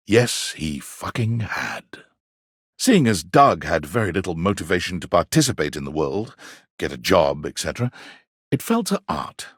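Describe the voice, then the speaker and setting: An actor playing a florid, pompous male storyteller in a video game, narrating dramatically with arch wit and savored pauses in a husky voice. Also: lowish voice, no echo, clean studio sound, heavily acted. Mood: wistful, dramatic. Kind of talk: theatrical scripted narration.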